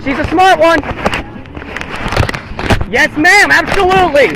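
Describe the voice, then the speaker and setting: A man's voice: very high voice, slow. An American man protesting on the street, declaiming repeated slogans and chatting with passersby outdoors. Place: outdoors by a busy road. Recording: noisy outdoor street, traffic behind.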